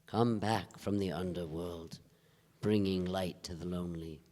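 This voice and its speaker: An actor playing a somber, anguished man who speaks gravely and haltingly in a live staged play reading. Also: sits low, steady tone, warm tone. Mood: solemn, poetic. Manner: grave, halting, emotionally pressing. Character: Joe, somber, anguished divorce investigator bearing bad news. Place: theatre stage before a live audience. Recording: live theatre stage, long hall reverb.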